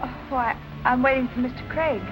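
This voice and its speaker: An actress playing an earnest, anxious young fiancée in a 1940s film noir. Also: female voice, high-pitched, steady tone, normal speed, over music, close to an everyday voice. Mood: shocked. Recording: muffled old film soundtrack.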